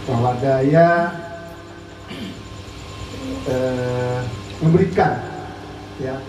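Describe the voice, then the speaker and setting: A man from West Java giving an informal, chatty speech to a community radio gathering as an army district officer, peppering his talk with fillers. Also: mid-range voice. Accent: Indonesian, not typically Sundanese. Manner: chatty, frequent "ya kan" and "nah" fillers. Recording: echoey room, distant mic, music behind.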